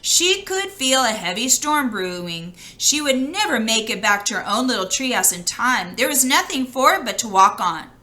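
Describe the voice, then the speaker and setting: A woman reads a storybook aloud in a high, bright voice. Her delivery is expressive and conversational, with the chatty feel of someone sharing the book with listeners. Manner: expressive, conversational, pausing to comment. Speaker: storybook reader.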